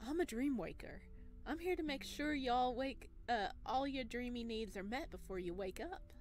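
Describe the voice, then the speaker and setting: A woman's voice: fairly high pitch, animated, normal speed, darkish tone, soft background music, close to an everyday voice. A woman voicing a playful, flirty floating male clown who drawls in a folksy, coaxing way, in a visual novel. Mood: playful, reassuring.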